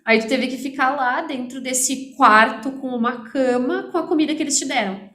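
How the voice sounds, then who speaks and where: A woman's voice: high voice, normal speed, sharp tone, reverberant. A woman, hosting a casual chat and following up with questions and summaries. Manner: engaged interviewer, adds follow-up questions and summaries. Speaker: host, teacher.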